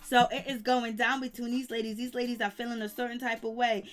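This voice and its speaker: A woman's voice: a little high, flat delivery, a little fast, soft background music. A woman casually recapping reality TV gossip, rambling with lots of fillers and humming disapproving "mm, mm" asides. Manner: chatty, rambling with many "you know" fillers. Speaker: YouTube reality TV commentator.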